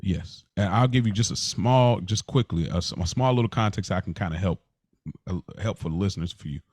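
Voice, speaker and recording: A man's voice: low voice, brisk, a little mellow, husky, no room sound, clean studio sound. A man co-hosting a podcast, telling personal stories casually, often repeating words and restarting his phrases. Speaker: podcast co-host. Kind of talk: casual personal storytelling in conversation.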